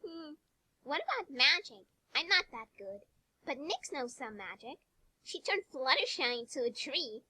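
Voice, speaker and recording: Woman doing a sweet little filly, cartoon story, high lively voice, modest, very dry sound. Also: measured pace, no echo, full character voice. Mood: thoughtful.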